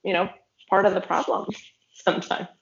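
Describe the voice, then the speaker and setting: A woman's voice: mid-range voice, little pitch movement, normal speed, echoey room, dull audio. A woman hosting a casual conversation who speaks in a reflective, encouraging way.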